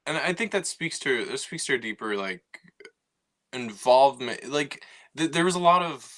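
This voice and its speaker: Chatty podcast co-host, mid-pitched bright male voice, casual delivery with frequent restarts. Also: animated, moderate pace. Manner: talkative, lots of "you know" and restarts. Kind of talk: casual chat.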